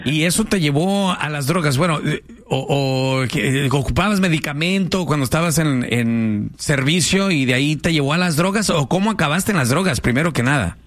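A man's voice: on the high side, expressive, normal speed, a bit bright. A man with a Mexican accent hosting talk radio in an informal, inquisitive, conversational way. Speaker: radio show host.

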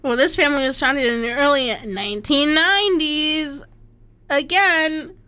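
Woman from Slovakia, bright high voice, reads notes casually, trips on names. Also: unhurried. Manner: stumbles over names, self-deprecating asides. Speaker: co-host reading an article. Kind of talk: casual chat, reading aloud.

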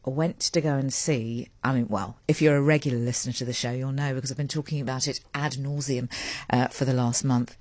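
A woman hosting a morning radio show, talking into a large studio mic. Her voice is very low and husky, in a dry, close sound with faint music underneath. She rambles in a chatty, self-mocking way. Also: fairly even intonation, brisk, darkish tone, no room sound. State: voice lower than usual after a late night. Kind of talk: chatty live radio talk. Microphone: large studio mic with a fluffy cover.

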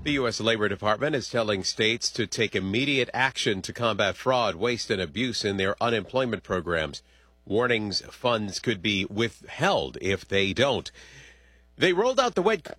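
A man reading radio news bulletins in a measured, crisp delivery. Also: medium pitch, sharp tone, no echo.

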